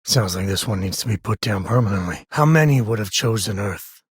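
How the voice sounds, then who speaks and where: Video game space explorer dad: very husky mid-pitched voice, folksy, wry, easygoing, close and dry. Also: male voice, animated, brisk, dry recording. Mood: worried, tense. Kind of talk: video game character dialogue.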